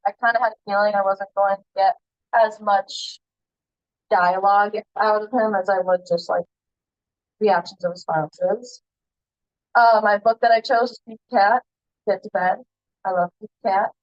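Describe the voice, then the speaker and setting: Woman, student presenter, relaxed with fillers, dry muffled line. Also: medium pitch, no echo. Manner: casual, with frequent ums and uhs. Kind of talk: class presentation. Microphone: video call.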